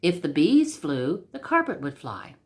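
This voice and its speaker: A woman's voice: fairly low pitch, very animated, measured pace, darkish tone. A woman storyteller reading a scripted comic tale aloud.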